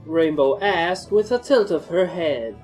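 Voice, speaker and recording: A woman's voice: lowish voice, animated, a little slow, a little mellow, faint music behind. A woman reading first-person fan fiction as a scripted story narrator.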